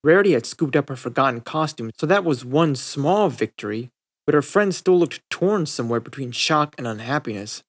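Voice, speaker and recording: A man's voice: mid-range voice, expressive, normal speed. A man narrating a scripted story as an audiobook reader. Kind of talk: scripted fan-fiction narration.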